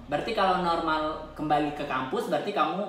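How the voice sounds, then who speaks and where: Seorang wanita dari Indonesia dengan aksen Indonesia, mewawancarai dengan santai dan sering menimpali singkat. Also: female voice, deep voice, fast. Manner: interjects often with short agreeing reactions. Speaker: interviewer. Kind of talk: casual friendly interview chat. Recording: very echoey room.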